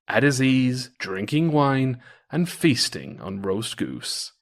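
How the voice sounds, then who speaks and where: A man reading a folktale aloud as a storyteller, with expressive, varied intonation. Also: fairly high pitch, gravelly. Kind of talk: folktale narration, read aloud.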